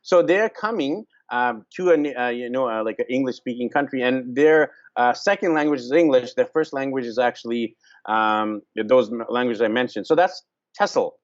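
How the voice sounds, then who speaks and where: A man hosts a relaxed explanatory chat, his voice sitting at an ordinary middle pitch. He often pauses with ums and restarts his sentences. The recording sounds slightly dull and muffled. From Vancouver, Canada. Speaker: host. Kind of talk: casual explanatory talk.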